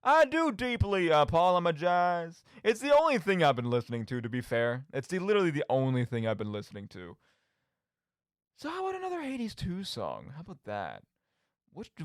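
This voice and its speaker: A man's voice: medium pitch, smooth, dry recording, clean studio sound. A man hosting a radio show solo, rambling casually and theatrically with conversational asides. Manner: animated, theatrical mock outbursts, conversational asides. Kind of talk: casual rambling monologue about video games.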